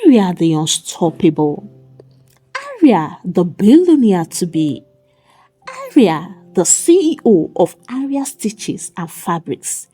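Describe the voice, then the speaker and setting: A woman voicing a playful, smitten young man who flatters dramatically in a folk tale. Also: mid-range voice, slow pace, husky, faint music behind, exaggerated voice. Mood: playful, dramatic.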